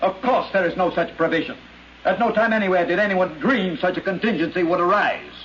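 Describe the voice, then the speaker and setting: A dull, faintly noisy 1950s radio recording. a stern male judge with a high-pitched voice, pompous and formally indignant. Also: brisk, a bit bright. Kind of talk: 1950s radio drama acting.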